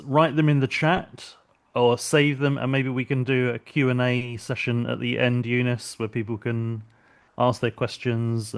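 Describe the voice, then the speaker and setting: A middle-aged man from London with a British accent, teaching business English in an online webinar. He talks patiently and steadily and says um a lot. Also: mid-range voice. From London, England.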